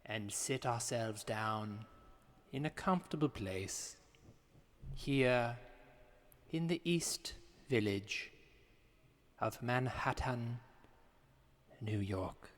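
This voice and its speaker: A man playing Juliet, a serene, stubborn new-age guru woman, speaking in slow, solemn, commanding phrases as in an improvised comedy meditation. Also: mid-range voice, animated, in a large hall. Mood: solemn, hypnotic.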